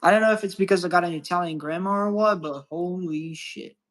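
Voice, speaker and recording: Man, young adult, from Brazil, somewhat high pitch, profane, rambling, casual podcast chat. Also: fairly quick, fairly bright tone. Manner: loose, swearing, rambling with restarts.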